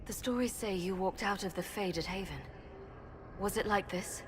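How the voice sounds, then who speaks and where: A woman's voice: mid-range voice, normal speed, a little hiss or hum, close to an everyday voice. A woman playing a wary, battle-hardened champion in a fantasy game, speaking earnestly. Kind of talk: dramatic fantasy game acting.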